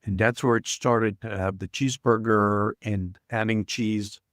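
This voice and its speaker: A man's voice: fairly low pitch, fairly even intonation, unhurried, a bit bright, no echo, studio quality. A middle-aged man from Israel, talking as a podcast guest with frequent hesitations and filler sounds. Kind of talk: casual interview talk.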